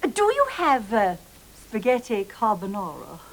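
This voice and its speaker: A woman's voice: mid-range voice, sing-song, measured pace, background noise. A woman playing a genteel, dotty lady diner in a children's comedy, affected, twee and condescending. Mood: polite. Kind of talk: children's comedy show acting.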